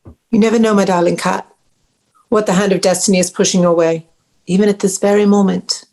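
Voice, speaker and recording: A woman's voice: medium pitch, fairly even intonation, brisk, a little mellow, natural voice. A woman playing a grandiose, genteel mother and faded stage actress at a screenplay table read, with affected, formal, precise diction. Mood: airy, fond.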